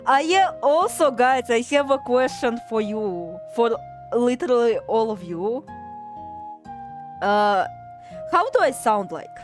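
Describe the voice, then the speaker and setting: Rambling Russian-accented woman streamer, fairly high voice. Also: sing-song, slow pace, soft background music. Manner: rambling, swears freely, self-deprecating, reads chat aloud. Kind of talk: casual gaming livestream chat.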